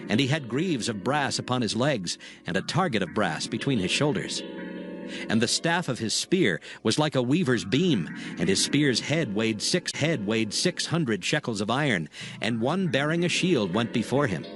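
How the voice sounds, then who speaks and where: A man's voice: medium pitch, big pitch swings, normal speed, music in the background. A man narrating scripture as a scripted dramatic audiobook.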